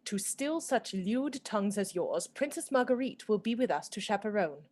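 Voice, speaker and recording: A woman's voice: mid-range voice, lively intonation, normal speed, darkish tone, a little hiss or hum, natural voice. A woman playing a proud, indignant young noble maiden in a radio play, formal and haughty. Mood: offended. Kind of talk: theatrical radio play acting.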